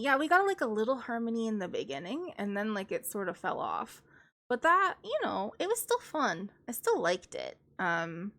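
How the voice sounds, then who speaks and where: A woman's voice: medium pitch, fairly bright tone. Young woman, classical singer streaming, mid-range voice, rambling analytical reaction chat, a touch nasal. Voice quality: slightly nasal at times. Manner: thinks aloud, opinionated, analytical about singing. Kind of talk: casual live-stream reaction commentary.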